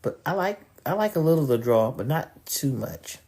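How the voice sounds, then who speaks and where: A woman from New York co-hosting a relaxed chat show, easygoing and quick to drop into slang. Her voice is very low, with a dark, mellow tone. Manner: laid-back, slips into street slang. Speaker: podcast co-host. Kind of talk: casual chat.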